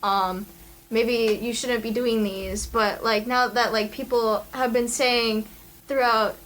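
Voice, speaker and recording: A teenage student in a leadership role, speaking earnestly and haltingly in an interview. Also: medium pitch, steady tone, fairly bright tone, slight background noise, low-quality recording. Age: teens. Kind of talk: casual interview.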